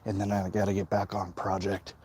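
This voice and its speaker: A middle-aged man talking casually and haltingly to his viewers in a monologue recorded while out walking. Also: low-pitched, monotone, a little mellow, breathy edge, dry recording. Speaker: comic book creator vlogging. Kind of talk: casual walk-and-talk monologue. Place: outdoors, walking along a hilly route.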